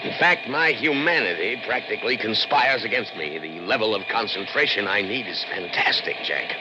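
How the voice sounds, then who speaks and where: An actor in a 1960s radio drama playing a brooding, intense scientist with theatrical, deliberate emphasis. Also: male voice, lowish voice, expressive, music in the background, natural voice. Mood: frustrated, brooding. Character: obsessive brilliant male scientist, brooding and intense. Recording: lo-fi old radio broadcast recording.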